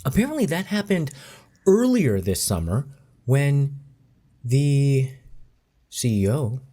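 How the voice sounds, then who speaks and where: A man speaking in a deliberate, measured way as he comments in casual chat. His voice is dark and mellow, and he takes his time. The pace stays unhurried. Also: medium pitch, big pitch swings. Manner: deliberate, measured delivery with occasional laughs. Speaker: co-host commentator.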